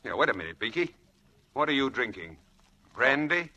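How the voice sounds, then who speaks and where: An actor playing a charming, evasive young husband with smooth, persuasive patter, in 1940s radio drama style. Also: male voice, medium pitch, big pitch swings, normal speed, bright, forceful tone. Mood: jovial. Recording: noisy old 1940s radio broadcast.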